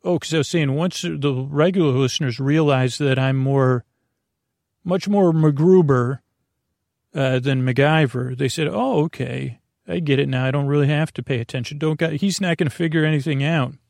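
A man with a creaky, gravelly voice hosting a bedtime podcast, rambling in a halting, meandering way and drifting off into tangents. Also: a little high, a little mellow, no echo, studio quality. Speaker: podcast host.

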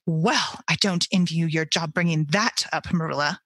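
A woman's voice: low-pitched, moderate pace, fairly bright tone, no room sound, clean studio sound, voice pushed down. A woman reading aloud as a self-righteous older neighbor woman who lectures in an offended, sanctimonious way. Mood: indignant.

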